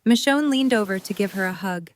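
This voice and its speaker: A woman's voice: medium pitch, fairly quick. A woman reading scripted third-person narration for an audio drama. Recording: clear background noise with hum.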